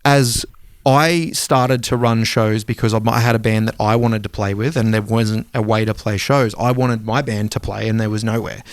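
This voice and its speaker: Clear-voiced man chatting casually, close and dry. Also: on the low side, fairly even intonation, normal speed, no room sound. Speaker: podcast co-host. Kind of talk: casual conversational podcast talk.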